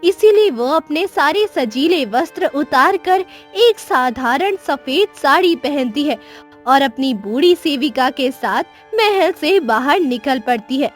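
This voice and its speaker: Slow, high-pitched female storyteller. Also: lively intonation, faint music behind. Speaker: storyteller, narrator. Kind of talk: dramatic scripted children's story narration.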